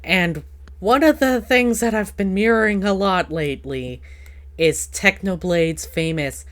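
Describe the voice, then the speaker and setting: A woman's voice: mid-range voice, a little slow, a bit bright. A woman hosting a casual podcast chat in a playful, teasing, rambling way. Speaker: podcast host.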